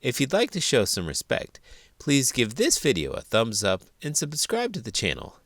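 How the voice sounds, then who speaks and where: A man's voice: mid-range voice, huge pitch swings, normal speed, fairly bright tone, dry recording, clean studio sound. An American man hosting a read-aloud, narrating a children's history picture book.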